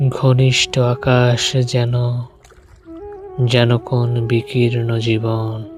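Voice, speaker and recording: A man reciting poetry in a solemn, dramatic style, deliberately, with weighted pauses between short phrases. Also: mid-range voice, completely flat delivery. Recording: music bed under the voice. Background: music.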